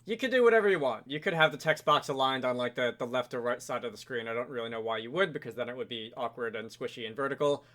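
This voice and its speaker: A man's voice: mid-range voice, quick pace, few pauses, a bit bright. A man presenting a casual, conversational programming tutorial, explaining things step by step in an informal way. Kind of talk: casual screen-recorded coding tutorial.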